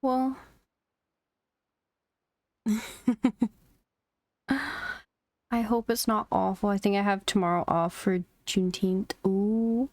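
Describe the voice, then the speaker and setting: Unhurried, giggly woman game streamer. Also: mid-range voice, fairly even intonation, darkish tone, no room sound. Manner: giggly, murmuring reactions, chatting to viewers. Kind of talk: casual livestream chat while playing a game.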